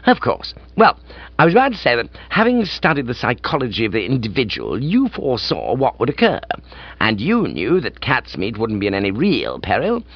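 A man voicing an excitable, pompous upper-class English gentleman in a comic audiobook, breezy and self-satisfied. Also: mid-range voice, normal speed, dry recording, dull audio. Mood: breezy, pleased.

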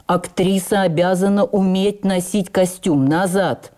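Radio play role: imperious male stage director, played by a woman, emphatic, commanding, low-leaning and bright-toned with a softened edge. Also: fairly even intonation, a little slow, natural voice. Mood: stern, scolding.

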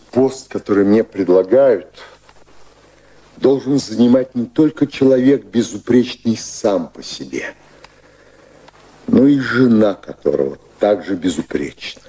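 An actor playing a devoted, proper older gentleman in a radio play, with a husky voice and a courtly, halting manner, earnestly repeating himself. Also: lowish voice.

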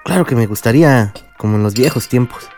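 A medium-pitched male voice with faint music underneath. It is an actor as a calm, middle-aged Mexican father in a radio soap, speaking politely and patiently. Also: natural voice. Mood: fond, advising. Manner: measured, polite. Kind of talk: radio soap opera dialogue.

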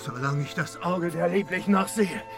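An actor playing a lovesick, wistful giant in a German fantasy radio play, with a yearning manner. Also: male voice, high-pitched, moderate pace, creaky, over music. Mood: wistful, smitten.

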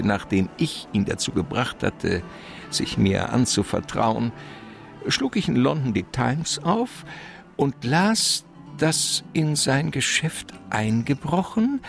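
An actor playing a suave, wily criminal mastermind in a German radio crime drama, speaking in a courtly, verbose and pompous way. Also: male voice, mid-range voice, gravelly.

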